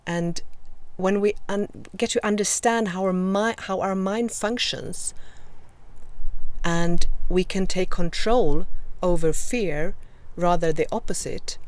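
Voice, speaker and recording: Slow, soft-spoken Swedish woman. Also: lowish voice. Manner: gentle, encouraging, slow and reflective with many pauses. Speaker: host. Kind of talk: live video Q&A with viewers.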